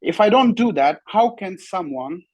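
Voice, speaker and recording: A young man whose first language is Pashto, a bodybuilder telling his story in a relaxed interview and often saying "you know.". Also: sits high, moderate pace, breathy edge, no room sound, lo-fi sound. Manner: relaxed, repeats "you know" often, simple non-native phrasing. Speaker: bodybuilding competitor, guest. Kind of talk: casual interview talk.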